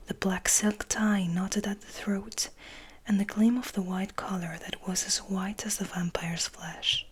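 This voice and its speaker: Asmr lady whispering a novel out loud, super breathy, soft n gentle, slightly low voice. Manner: gentle, hushed storytelling. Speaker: ASMR host reading aloud.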